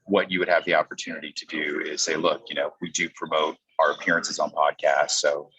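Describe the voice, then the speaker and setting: Deep-voiced man coaching, dull audio. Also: flat delivery, brisk, crowd noise. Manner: explanatory, acts out example pitches in quotes. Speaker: podcast coach. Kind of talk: conversational training talk.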